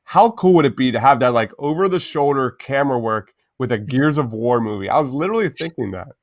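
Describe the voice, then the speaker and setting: A man's voice: medium pitch, expressive, quick pace, no echo, studio quality. A man hosting a podcast, giving opinions in informal, emphatic fan chat. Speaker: podcast host.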